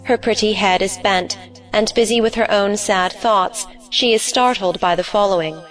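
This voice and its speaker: A female narrator with a medium-pitched voice reads stage directions for an audio play. Faint music sits behind her scripted narration. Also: moderate pace, low-quality recording.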